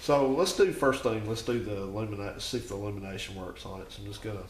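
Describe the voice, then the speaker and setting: A man with a fairly low voice talks casually through a hands-on garage demonstration. He rambles and thinks aloud, often second-guessing himself. The recording is echoey, with clear noise behind him. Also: quick pace. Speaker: host of a home garage repair channel. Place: home garage.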